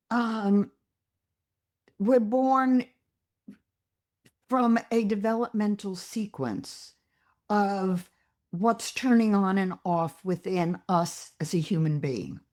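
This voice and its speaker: A woman psychologist explaining her ideas as a guest, in a measured, teaching way. She speaks very slowly and deliberately. Her pitch sits in an ordinary middle range. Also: a little mellow.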